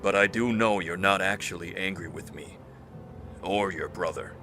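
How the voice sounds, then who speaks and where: A man playing a calm, earnest doctor and steadfast old friend in an audio drama, with a measured, consoling manner. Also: low voice, background noise. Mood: concerned, gentle.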